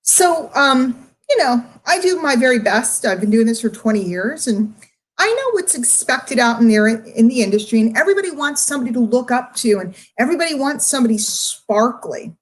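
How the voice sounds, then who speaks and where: Middle-aged female online marketer, slightly high-pitched, emotional, unscripted monologue to followers, confiding yet emphatic delivery. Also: expressive. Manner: confiding, emphatic, with drawn-out words and pauses. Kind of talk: candid livestream monologue to followers.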